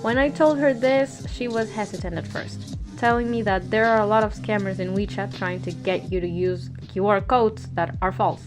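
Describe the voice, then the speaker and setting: A young woman from Peru, a Spanish speaker, telling a scripted storytime in an earnest, gently self-mocking way. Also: mid-range voice, moderate pace, hardly any pauses. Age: young adult. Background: music bed.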